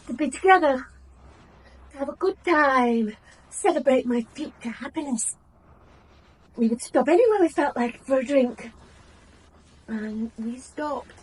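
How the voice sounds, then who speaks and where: A woman's voice: sits high, darkish tone, poor audio. An actress playing a proud, wounded young woman confronting her deceitful lover in a radio drama, with clipped, bitter phrases. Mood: accusing, controlled.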